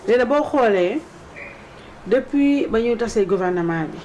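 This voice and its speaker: A woman with a Senegalese accent hosting a conversational political interview, somewhat halting as she frames her points. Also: a little high, animated, dark tone, a little hiss or hum, low-quality recording.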